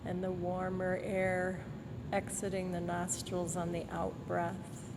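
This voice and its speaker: A woman leading a guided body scan meditation in a gentle, soothing manner, leaving long pauses between phrases. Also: fairly low pitch, monotone, noisy background.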